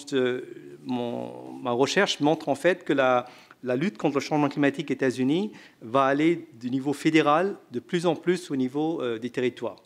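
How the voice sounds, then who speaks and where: A man on a conference panel answering an audience question, hesitant and full of fillers and restarts. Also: medium pitch.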